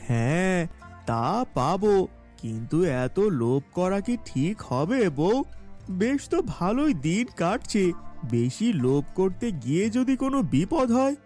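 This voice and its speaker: A man's voice: sits high, big pitch swings, measured pace, darkish tone, soft background music. A man voicing a meek, contented, cautious fisherman husband in a cartoon moral story, mild and pleading in manner. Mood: worried, pleading.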